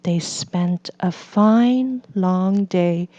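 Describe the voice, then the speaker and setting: A woman reading a children's story aloud as scripted narration, in a measured, deliberate manner. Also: on the low side, warm tone, no room sound, muffled recording. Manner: measured, deliberate delivery for learners. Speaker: storybook reader.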